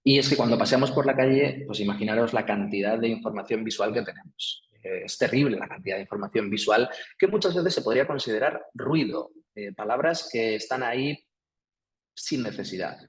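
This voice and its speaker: A man's voice: on the low side, expressive, fairly quick, poor audio. A man with a Peninsular Spanish accent giving an academic lecture, explaining patiently with frequent "eh" fillers and "¿sí?" check-ins. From Spain. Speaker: guest lecturer.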